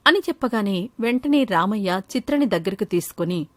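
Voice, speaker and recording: A woman's voice: mid-range voice, animated, normal speed, no room sound. A woman storyteller reading scripted narration for a children's moral story.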